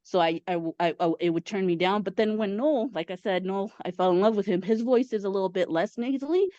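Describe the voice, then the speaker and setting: A woman's voice: mid-range voice, brisk, dark tone, dry recording. Chatty Mexican-born woman on a muffled video call. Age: 30s. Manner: eager, chatty, many fillers. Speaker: caller. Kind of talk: casual chat.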